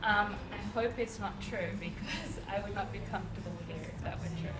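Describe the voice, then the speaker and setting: A woman, a salon customer, talking softly and hesitantly. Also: medium pitch, steady tone, fairly quick, a little hiss or hum, rough recording.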